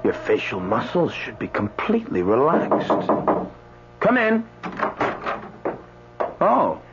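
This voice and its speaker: An actor playing a flustered new doctor at a medical examiner's office in a radio drama, jittery and hesitant. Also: male voice, mid-range voice, huge pitch swings, slow. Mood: puzzled, unsettled. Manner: jittery, hesitant, repeats himself. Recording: muffled radio recording, faint music.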